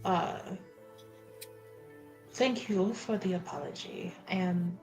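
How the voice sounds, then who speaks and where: A woman playing a tabletop roleplaying game, halting and informal as she narrates actions and improvises dialogue. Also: on the low side, lively intonation, a little mellow, faint music behind, rough recording. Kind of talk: casual narration of her character's actions mixed with improvised dialogue.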